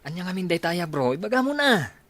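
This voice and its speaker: Man playing an animated, excitable buddy. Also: high-pitched, fairly quick, dry recording, heavily acted. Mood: excited, teasing. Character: excitable, friendly young buddy working away from home. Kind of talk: radio comedy drama acting.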